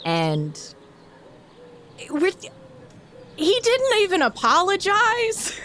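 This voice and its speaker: A woman's voice: extremely high pitch, huge pitch swings, very slow, fairly bright tone, a little hiss or hum. A woman playing a tabletop game, talking in a casual, chatty way.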